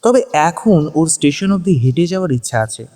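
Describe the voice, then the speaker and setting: A man's voice: sits high, expressive, quick pace, warm tone. A man narrating a scripted dramatic audio story. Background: background music.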